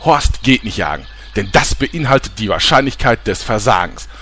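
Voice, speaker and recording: A self-important film maker from a comic audio play, acted by a man, speaks in a lowish voice that swings widely in pitch. He is theatrical and eager, over slight background noise. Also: expressive, normal speed, sharp tone. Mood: enthusiastic. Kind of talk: comic audio drama acting.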